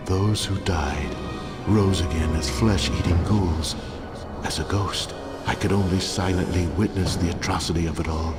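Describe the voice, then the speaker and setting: Deep, solemn, bitter noble ghost. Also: male voice. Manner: solemn, formal, archaic. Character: brooding, bitter male ghost of a fallen noble house. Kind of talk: theatrical fantasy game voice acting.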